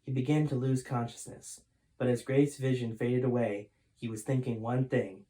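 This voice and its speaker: A man narrates a storybook with a middling pitch. His reading is expressive and emphatic, in a dramatic storytime style. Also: moderate pace, poor audio. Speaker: author reading own book aloud.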